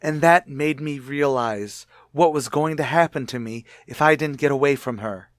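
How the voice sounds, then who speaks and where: An actor playing a cornered husband with a false identity in a radio drama, earnest and self-justifying. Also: male voice, medium pitch, moderate pace, no echo, studio quality, natural voice. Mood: desperate, pleading. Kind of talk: old-time radio drama acting.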